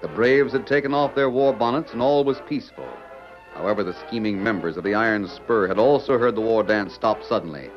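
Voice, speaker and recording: An American actor playing the grave narrator of an old-time radio Western, reading in a measured, dramatic way. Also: male voice, fairly low pitch, close to an everyday voice. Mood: portentous, dramatic. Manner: measured, portentous. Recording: muffled vintage radio, music behind.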